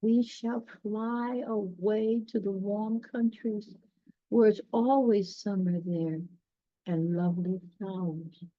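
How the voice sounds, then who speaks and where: A woman's voice: mid-range voice, steady tone, takes it slowly, no echo, muffled recording, lightly acted. A woman reading a storybook and voicing a kind, grateful male swallow, urgent and coaxing.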